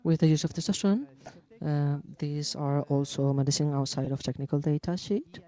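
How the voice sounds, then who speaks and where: Halting man giving a medical lecture. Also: fairly high pitch, dark tone. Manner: halting, many fillers and restarts. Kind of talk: conference lecture.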